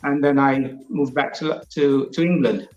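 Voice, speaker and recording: A guest man speaks over a remote line with a dull, lo-fi sound in a roomy space. He often stalls and repeats words before he finds his footing, then explains at length. Soft music sits faintly underneath. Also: medium pitch, steady tone. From Jamaica. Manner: halting, repeats words as he starts answers. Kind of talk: interview.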